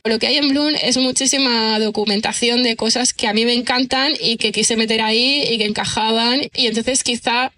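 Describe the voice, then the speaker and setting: Woman, bit high voice, bright and tense, keeps saying digamos and no?. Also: steady tone, normal speed, few pauses. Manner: thoughtful, many fillers like "digamos" and "¿no?". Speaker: writer and screenwriter guest. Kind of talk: relaxed podcast conversation.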